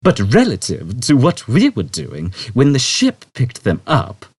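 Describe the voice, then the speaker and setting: Space rogue, a male narrator acting: slangy, impatient drawl, pushed-up pitch, big swings, audiobook sci-fi. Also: wildly swooping pitch, a little slow, husky, dry recording, full character voice. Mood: pondering. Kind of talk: audiobook character voice.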